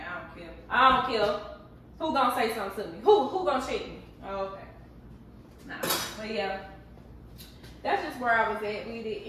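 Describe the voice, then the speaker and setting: A woman's voice: medium pitch, heavy reverb, recorded from a distance, background noise. A woman, chatting candidly and animatedly to her viewers while cooking in her kitchen. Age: 30s. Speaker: vlogger. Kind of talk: casual chat while cooking. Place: home kitchen.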